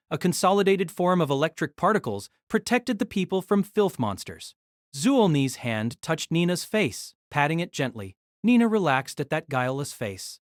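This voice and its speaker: A woman's voice: sits low, no echo, clean studio sound. A woman narrating a scripted, dramatized story as its narrator.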